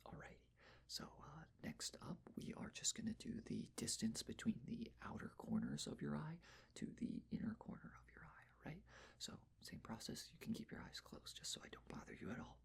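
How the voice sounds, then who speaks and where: A person of unclear sex whispering gently in an ASMR roleplay, giving reassuring step-by-step instructions in a breathy voice. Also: medium pitch, huge pitch swings, fairly quick. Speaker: ASMR performer playing someone measuring a client's face.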